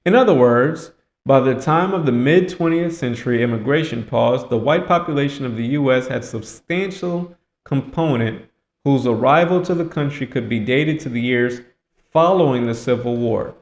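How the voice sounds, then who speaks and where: A man's voice: mid-range voice, moderate pace. A man giving political commentary in an emphatic, rhetorical way, repeating phrases for effect. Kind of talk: political commentary mixed with reading aloud. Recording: echoey, roomy sound.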